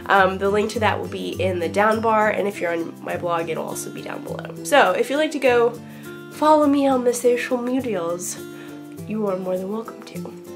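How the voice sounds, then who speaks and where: A woman's voice: mid-range voice, moderate pace, soft background music. A young woman hosting a knitting podcast, chatting casually with lots of ums and likes, still congested from a cold. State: congested, getting over a cold. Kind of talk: casual chat to camera.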